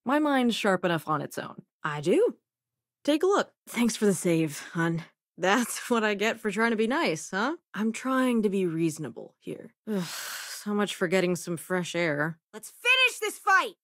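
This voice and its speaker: A woman's voice: fairly high pitch, very animated, unhurried, fairly bright tone, no echo, studio quality. A woman playing a sassy, self-assured young scientist and smuggler companion in a space video game, with a wry, casual manner. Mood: dry, casual, then combat alarm. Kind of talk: acted video game dialogue lines.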